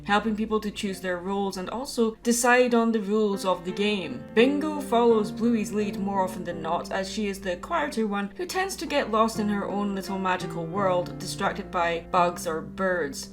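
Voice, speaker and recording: A woman's voice: medium pitch, expressive, moderate pace, few pauses. A woman narrating a personal video essay as the host of her channel. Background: background music.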